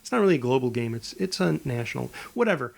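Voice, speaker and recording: A man co-hosting a podcast, talking casually in a halting, rambling way as he improvises a pitch. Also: very animated, vocal fry. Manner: halting, rambling delivery with false starts. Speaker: podcast co-host.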